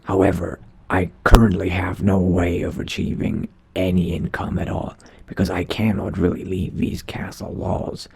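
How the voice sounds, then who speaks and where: A man playing a male dark elf vampire mage in a video game roleplay, speaking in a hushed, deliberate, brooding way. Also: low-pitched, level tone, no room sound, exaggerated voice. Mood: measured, reflective. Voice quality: husky, whispery, gravelly. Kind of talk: in-character game roleplay monologue.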